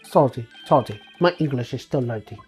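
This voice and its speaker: A woman voicing an earnest, suspicious Russian male juror in halting English with a Russian accent, for a game read-aloud. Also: rumbling low, lively intonation, darkish tone, faint music behind, voice pushed down. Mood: halting, apologetic. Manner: halting, clipped, dropped articles.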